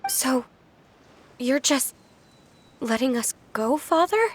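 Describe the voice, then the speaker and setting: A woman playing a frightened, remorseful young girl in a video game, speaking in a halting, pleading way. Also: sits high, lively intonation, exaggerated voice. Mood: tearful, pleading.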